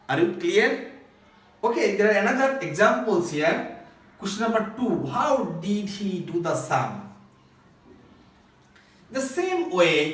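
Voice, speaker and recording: A man's voice: high voice, a little hiss or hum. A man with a Bangladeshi accent teaching English grammar step by step, in a repetitive, phrase-by-phrase instructional way. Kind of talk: recorded grammar lesson, step-by-step explanation. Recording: echoey room, distant microphone.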